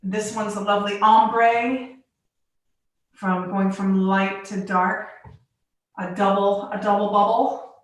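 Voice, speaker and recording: A woman from the Bahamas and Virgin Islands, a glass artist giving a casual guided tour in an informal, halting way with pauses between phrases. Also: medium pitch, little pitch movement. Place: living room of a home studio. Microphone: phone on a selfie stick. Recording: echoey room, live video stream.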